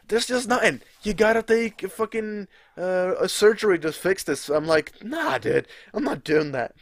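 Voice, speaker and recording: A young man with a Czech accent rambles on in a casual, self-mocking way, swearing as he goes. His voice sits a bit high for a man, and the sound is very dry and close to the mic. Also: fairly bright tone, dry recording. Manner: chatty, swears freely, laughs at himself. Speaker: gaming video creator. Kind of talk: casual rambling live commentary.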